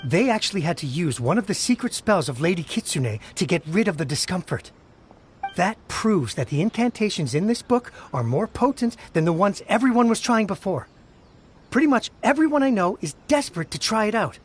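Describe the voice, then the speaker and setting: A man's voice: sits high, big pitch swings, brisk, slight background noise. A man voicing an eager, chatty young townsman in a video game, passing on rumors.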